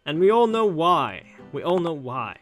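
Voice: mid-range voice, big pitch swings, measured pace, a bit bright. An informal let's player commentates on a visual novel with music playing behind. The talk rambles along and repeats phrases for emphasis, slipping between commentary and read dialogue. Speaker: gameplay commentator reading a visual novel aloud.

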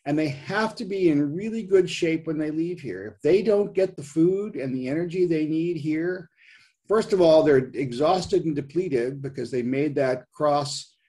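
Older man lecturing, slightly high-pitched voice. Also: dark tone. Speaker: presenter, science writer giving a nature talk. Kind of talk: informal slide lecture over video call. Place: indoors at home, on a video call.

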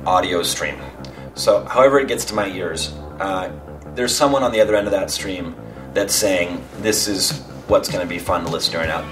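A man's voice: on the low side, recorded from a distance. A man explaining his view in a casual interview.

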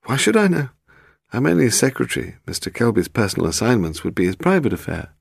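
Male narrator as a cool British female secretary, ironic and defensive, pitched up from his deep voice, crime audiobook. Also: very animated, fast talker, creaky, slightly rough, no echo, clean studio sound, full character voice.

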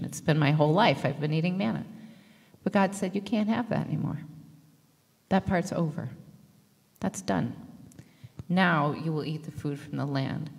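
A woman in her thirties preaching a sermon into a handheld mic, lively and joking, gesturing as she talks. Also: on the low side. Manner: lively, gestures broadly, jokes and laughs with the congregation. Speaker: pastor. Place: church sanctuary. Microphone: handheld microphone. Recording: long reverb of a church hall.

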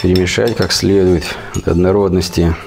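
A man in his forties, hoarse with a cold, giving casual, colloquial recipe instructions as a cooking show host. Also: sits low, steady tone, fairly quick. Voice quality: hoarse, husky. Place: home kitchen. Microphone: lavalier clipped to T-shirt. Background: guitar music.

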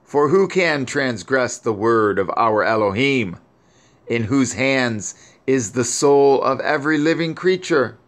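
A man's voice: mid-range voice, lively intonation, unhurried, natural voice. A man reading the part of a grieving elderly mother, lamenting and pleading over her son. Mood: mournful.

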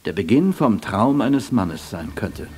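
An actor playing a prim, formal older gentleman administrator in a radio play, precise and somewhat pompous. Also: on the low side, very animated, unhurried, slightly rough, faint music behind, exaggerated voice. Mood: reluctant, conceding.

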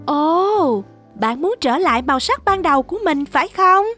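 A woman's voice: sits high, expressive, moderate pace, bright, forceful tone, faint music behind. An actress voicing a sweet mother shark who guides young viewers in a Vietnamese children's cartoon. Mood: concerned.

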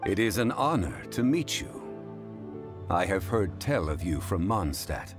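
Dignified male consultant in a game; low-pitched, composed, courteous, faint music behind. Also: sing-song, a little slow. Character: calm, dignified, scholarly gentleman consultant. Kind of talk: video game character voice acting.

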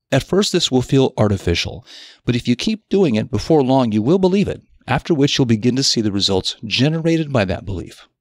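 A man's voice: medium pitch, no echo, clean studio sound. A man reading a scripted self-help article as narrator, with an emphatic, instructive, carefully phrased delivery.

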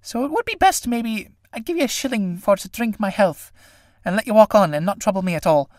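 A woman's voice: mid-range voice, expressive, fairly quick, darkish tone, no room sound, clean studio sound, pitched above the performer's own voice, lightly acted. A woman reading a play aloud and voicing a nervous, evasive male village priest who tries to coax his way out of things. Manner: uneasy, coaxing.

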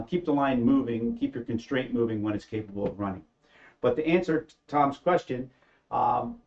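A man's voice: mid-range voice, a little mellow. A middle-aged man talks through how a machine was invented, stopping often on ums and uhs. His voice sits mid-range and sounds a little dull, as if recorded on a modest studio setup. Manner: hesitant, many fillers. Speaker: company engineer, co-inventor. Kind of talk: casual panel discussion. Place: studio set with backdrop.

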